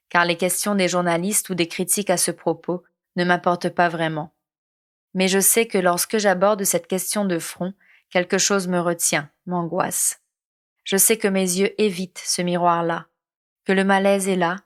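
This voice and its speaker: Woman narrating in a measured voice. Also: on the low side. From Mauritius. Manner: measured, reflective reading. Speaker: podcast host. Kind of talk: scripted documentary narration.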